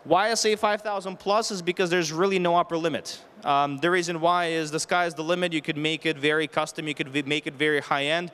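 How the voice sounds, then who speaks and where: A man's voice: fairly high pitch, fairly even intonation, brisk, few pauses, a bit bright, slight background noise. A man in his thirties giving a practical, explanatory public presentation into a handheld microphone, often posing questions and listing options. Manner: explanatory, poses rhetorical questions and lists options. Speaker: renovation contractor giving a presentation. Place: stage at an indoor home show. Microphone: handheld microphone held near the chin.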